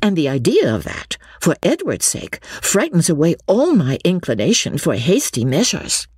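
A woman's voice: sits low, wildly swooping pitch, normal speed, a little mellow, slightly rough, no room sound, studio quality, voice pushed up, heavily acted. A woman voicing a sly, jealous young woman in a classic novel, effusive and mannered, confiding as she schemes. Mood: anxious, scornful. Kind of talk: classic novel character dialogue.

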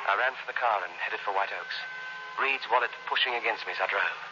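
A man's voice: on the low side, fairly quick, lo-fi sound, noisy background, lightly acted. A man playing a cynical, scheming impostor in 1960s radio suspense style, with terse, wry delivery on an old radio recording. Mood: tense, cynical. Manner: terse, wry, hard-boiled. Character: hard-boiled male impostor and crook, scheming, cynical. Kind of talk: 1960s radio suspense acting, first-person narration.

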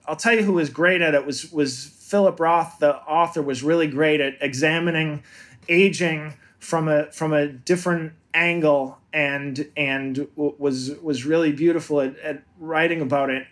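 A man in his fifties, a guest comedian in a casual chat, talking in an eager, thoughtful way with many restarts. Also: fairly high pitch. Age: 50s.

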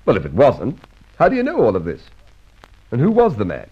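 An actor playing a stolid, gruff, skeptical police inspector in a mid-century radio drama, blunt and a little puzzled. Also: male voice, medium pitch, wildly swooping pitch, brisk. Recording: dull, muffled old radio recording.

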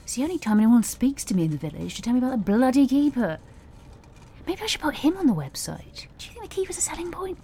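A woman's voice: high voice, big pitch swings, fast talker, dark tone, a little hiss or hum. A woman playing a chatty, awkward boutique guesthouse host who rambles over-eagerly and mutters exasperated curses, in a naturalistic audio drama style. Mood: awkwardly friendly. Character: flustered, chatty, awkward female boutique lighthouse-stay host.

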